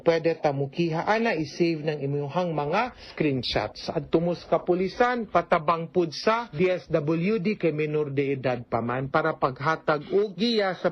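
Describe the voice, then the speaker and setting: Bisaya lady lawyer on a radio show, explains stuff and keeps checking in, kinda muffled lo-fi audio. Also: sits low. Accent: Philippine Bisaya. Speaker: lawyer hosting a radio advice program. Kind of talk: conversational legal advice on radio. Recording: dull, lo-fi radio recording.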